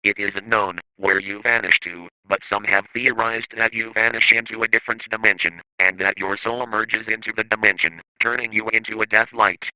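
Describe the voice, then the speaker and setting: Bright, forceful man narrating analog horror. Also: fairly low pitch, steady tone, moderate pace, hardly any pauses, clear, resonant tone, dry recording, poor audio.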